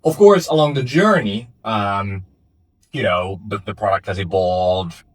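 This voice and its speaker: A man's voice: fairly low pitch, a little slow, no room sound. A young man from Finland, a startup founder, answering interview questions in a thoughtful way with many fillers and restarts. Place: office in Finland.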